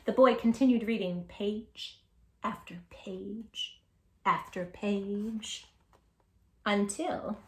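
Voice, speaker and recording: A woman reading a picture book aloud to young children, with dramatic emphasis, hushed asides and questions to her listeners. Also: mid-range voice, steady tone, extremely slow, echoey room. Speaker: children's storytime host.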